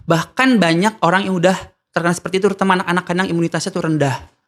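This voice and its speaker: Fast-talking doctor in casual conversation. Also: medium pitch, steady tone. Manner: colloquial, explains medical terms plainly. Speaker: doctor and health content creator, podcast guest. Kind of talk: casual explanatory interview talk.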